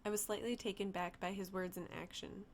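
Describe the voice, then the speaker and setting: A woman reading her own novel aloud as first-person narration, steady and unhurried. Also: medium pitch, heavy background noise.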